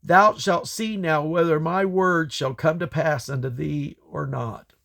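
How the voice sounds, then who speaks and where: A man reading scripture aloud in a solemn, deliberate way, breaking the text into measured phrases. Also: a little high, steady tone, vocal fry, a bit bright. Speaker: Bible reader. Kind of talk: scripture reading, King James English.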